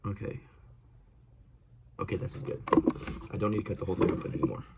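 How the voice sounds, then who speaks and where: A young man vlogging casually while working at a desk, rambling and talking to himself with many hesitations and often dropping to a hush. He sounds sniffly and congested. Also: on the low side, level tone, quick pace. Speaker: outdoors vlogger. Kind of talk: casual hands-on vlog. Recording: noisy home recording.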